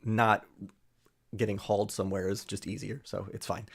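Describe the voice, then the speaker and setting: Sarcastic man with a low voice. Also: little pitch movement, moderate pace, vocal fry, breathy edge. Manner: dry, mocking asides. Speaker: reaction commentator. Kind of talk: sarcastic commentary over a played video.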